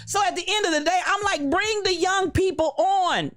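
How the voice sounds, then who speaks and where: A woman in her late fifties, a pastor hosting a livestream, talking in a lively, preacherly way and calling out to viewers by name. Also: very high voice, moderate pace. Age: 50s. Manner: animated, preacherly, calls out to viewers. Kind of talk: lively livestream talk greeting chat.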